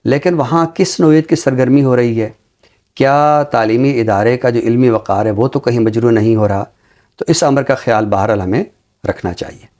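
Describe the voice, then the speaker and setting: A middle-aged Pakistani man speaking in a formal, deliberate way, as a literary commentator on a talk show. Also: medium pitch, darkish tone. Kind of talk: reflective commentary and poetry recitation on a literary show.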